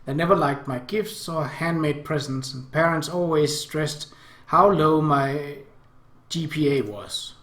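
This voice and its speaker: A man's voice: on the high side, unhurried, a bit bright. Man reading aloud in an echoey room. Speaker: let's play host reading a game aloud. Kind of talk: reading visual novel narration and dialogue aloud.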